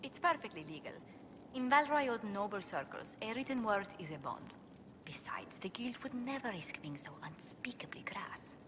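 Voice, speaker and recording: A woman's voice: medium pitch, lively intonation, normal speed, background noise, close to an everyday voice. An actress playing a refined, earnest noblewoman diplomat in a fantasy game cutscene, polite, formal and articulate. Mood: earnest, diplomatic. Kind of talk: scripted video game cutscene acting. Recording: long hall reverb, faint background ambience.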